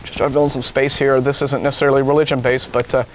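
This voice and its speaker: A man's voice: mid-range voice, flat delivery, rapid-fire, a little hiss or hum. An American man co-hosting a talk show, reading out news items with casual, wry and mocking asides. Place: TV studio.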